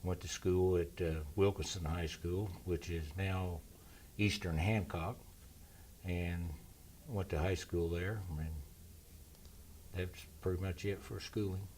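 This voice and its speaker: An older man from Indiana speaks in a very deep, low voice that barely rises or falls. He talks slowly and in a measured way, pausing to recall things as he answers interview questions about his past. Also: steady tone, a little mellow, slight background noise. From Indiana, USA. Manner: slow and measured, pauses to recall. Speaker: interview guest, military veteran. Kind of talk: relaxed reminiscing interview.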